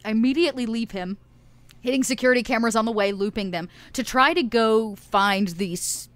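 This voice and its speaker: A woman's voice: fairly high pitch, brisk, a bit bright, no room sound. A woman playing a tabletop game in casual roleplay, with a hesitant, stop-start delivery.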